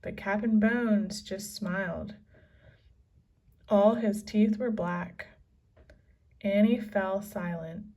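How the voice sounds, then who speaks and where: A woman's voice: medium pitch, drawn-out words, pauses often, mellow, distant mic. A woman narrating a children's adventure story as a scripted read-aloud. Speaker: storybook reader.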